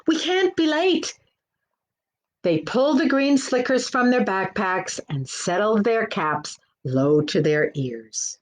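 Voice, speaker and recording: A woman narrates a children's picture book at a very slow, patient pace. Her pitch sits in the middle range, and she pauses to point out pictures as a librarian would at storytime. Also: lively intonation. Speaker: children's librarian reading aloud.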